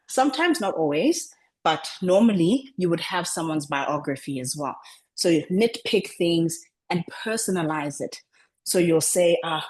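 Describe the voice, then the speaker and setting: A woman coaching public speaking over a video call, talking in a deliberately lowered register and often repeating words as she restarts. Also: a little mellow, rough recording. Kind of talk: online coaching session.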